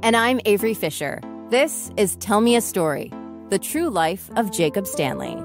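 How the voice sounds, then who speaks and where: A woman's voice: medium pitch, big pitch swings, normal speed, over loud music. A woman playing a frank podcast host in scripted investigative narration. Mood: matter-of-fact.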